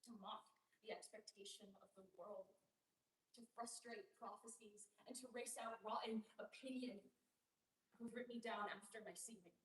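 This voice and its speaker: A woman playing a stern, proud young king in a staged Shakespeare reading, with formal, measured declamation. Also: mid-range voice, fairly even intonation, close to an everyday voice. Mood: solemn. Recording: echoey room, distant mic, faint hiss.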